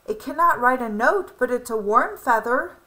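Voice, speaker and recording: A woman's voice: on the high side, animated, normal speed, sharp tone, poor audio. A woman voicing a kindly, giggly mother hen in a children's storybook, exclaiming in a singsong way. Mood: consoling.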